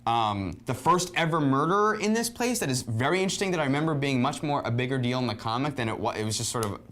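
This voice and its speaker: A man hosting a film review show in casual conversation, often halting as he searches for his words. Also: mid-range voice, lively intonation, fairly bright tone.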